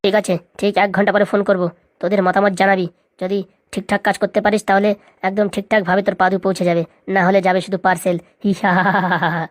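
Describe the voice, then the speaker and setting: A woman's voice: mid-range voice, level tone, fast, dry recording, studio quality, natural voice. A woman voicing a menacing male cartoon kidnapper, curt and domineering in comedy dialogue. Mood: cold menace. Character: menacing male kidnapper making ransom demands.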